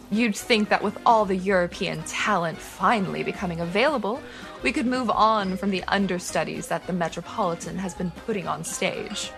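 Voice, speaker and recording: An actress playing a snobbish, ruthless rich heiress with a pompous, condescending manner in a pulp radio drama. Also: female voice, mid-range voice, vocal fry. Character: haughty, spoiled, ruthless rich socialite crime heiress.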